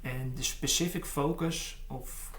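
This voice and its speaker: A man hosting a podcast, introducing his guest and asking questions in a halting, repetitive way. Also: medium pitch, fairly even intonation, fairly bright tone. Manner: halting, repeats words, non-native phrasing. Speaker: podcast host.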